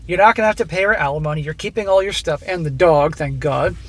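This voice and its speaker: A man hosting a channel who reads a listener's story aloud and comments on it in a blunt, profane, coaching way, his voice going hoarse. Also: fairly high pitch, quick pace, fairly bright tone, soft background music. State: losing his voice. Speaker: host reading listener stories.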